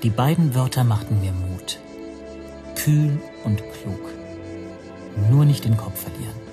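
Guy playing brooding first-person narrator, radio drama, medium voice, clear music behind. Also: mid-range voice, expressive, a little slow, close to an everyday voice. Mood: tense, reflective. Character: stateless Hungarian teacher recounting his ordeal, reflective, anxious.

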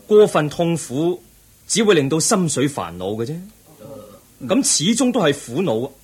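A man acting in a Cantonese radio drama as a young prince seeking truth, earnest and measured, with a Hong Kong accent. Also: on the high side, very animated, darkish tone. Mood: earnest, firm. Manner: measured, earnest, declamatory, preaching.

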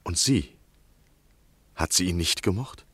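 A courtly, insistent literary journalist in a 1960s radio drama. His voice is very low and the sound is close and dry. He speaks with formal gallantry, always gently pressing for more. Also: lively intonation, unhurried, slightly rough, dry recording, exaggerated voice. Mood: eager, probing. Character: courtly, persuasive male literary journalist, eagerly probing. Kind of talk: 1960 German radio play acting.

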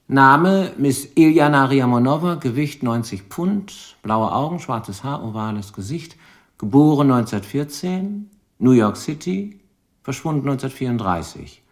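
An actor playing a shrewd, dogged police lieutenant in a radio crime drama, probing deliberately with dry irony. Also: male voice, mid-range voice, big pitch swings.

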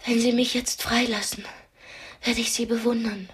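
An actress in a radio play as a brave, sharp-witted young captive, speaking directly and persuasively. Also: female voice, a little high, level tone, normal speed, lightly acted. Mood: pleading, coaxing. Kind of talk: radio drama acting.

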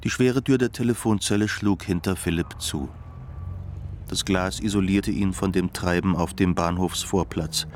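Low-voiced man narrating a radio play. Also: moderate pace.

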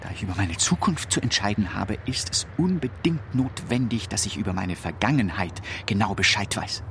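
Radio drama: despairing, confused monarch, low-pitched, highly variable and theatrical, pleading with God. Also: male voice, animated, normal speed, fairly bright tone, a little hiss or hum. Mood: anguished. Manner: theatrical, swinging between whispers and outcries. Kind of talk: literary radio play monologue. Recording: music behind the voice. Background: music.

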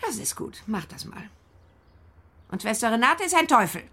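An actress playing a frail, stubborn, complaining old mother in a German radio drama, with a husky voice. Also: a little high, big pitch swings, normal speed, sharp tone, heavily acted. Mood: stubborn, softer. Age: older.

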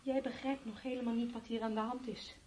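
A woman's voice: on the high side, steady tone, fairly quick, darkish tone, lightly acted. An actress playing a stubborn, resigned woman in a radio play, speaking in a defiant, matter-of-fact way. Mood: resigned, pleading. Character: stubborn, resigned woman wanting to stay and await the end. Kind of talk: Dutch radio play acting. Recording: faintly noisy radio drama recording.

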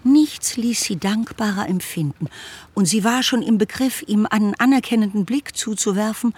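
A man narrating a classic romance novel for a radio play in a measured, articulate storytelling manner. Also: high-pitched, slightly rough. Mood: measured, observant.

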